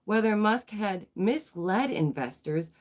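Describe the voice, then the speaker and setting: A woman's voice: mid-range voice, animated, slow pace, slight background noise. A woman hosting a live news commentary stream, her voice nasal and stuffy as she gets over a cold. She talks emphatically, repeats phrases and fires off rhetorical questions. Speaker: livestream host.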